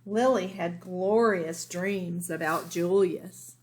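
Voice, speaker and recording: A woman's voice: lowish voice, takes it slowly, a little mellow. A woman teacher reading a children's storybook aloud expressively, explaining things simply for young listeners.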